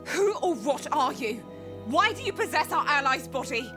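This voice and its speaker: Fantasy warrior woman female actor: commanding, formal, high-pitched and animated, music behind, audio drama. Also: moderate pace. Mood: defiant. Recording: music under the voice.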